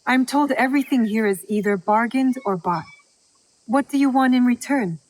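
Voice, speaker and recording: A woman acts as a young female shinobi from a historical video game, guarded yet earnest. Her voice sits fairly high, and she speaks in short, formal, polite phrases with plenty of reserve. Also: moderate pace, a little mellow, slight background noise, lightly acted. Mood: wary. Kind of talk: video game cutscene acting.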